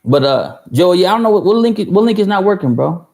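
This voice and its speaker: A man's voice: high voice, sing-song, fast talker, creaky, darkish tone. A man in his thirties telling a long story on a livestream for music producers, rambling and swearing, with many fillers and restarts. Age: 30s. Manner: answers viewer chat mid-story.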